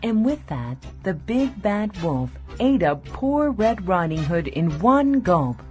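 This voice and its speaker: Slow, expressive woman storyteller. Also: mid-range voice. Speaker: narrator. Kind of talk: scripted storybook narration for children. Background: faint music.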